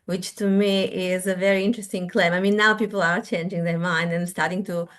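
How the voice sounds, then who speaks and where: A woman's voice: fairly low pitch, level tone, fairly quick. Israeli-accented woman in a thoughtful panel talk. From born in Russia, raised in Israel. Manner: thoughtful, restarts often. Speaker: author, panel guest. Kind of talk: panel discussion.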